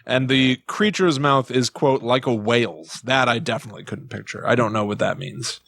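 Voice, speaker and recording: A man's voice: medium pitch, moderate pace, vocal fry, fairly bright tone, dry recording. A man hosting a podcast, telling stories in an informal, joking, conversational way. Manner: informal, joking, uses playful quotes. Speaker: podcast host. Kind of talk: casual storytelling chat with a co-host.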